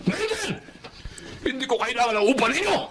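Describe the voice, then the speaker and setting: An actor playing an angry older landlord who shouts at his tenants in a radio drama. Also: extremely high pitch, sing-song, brisk, noisy background. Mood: furious. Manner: shouting, scornful.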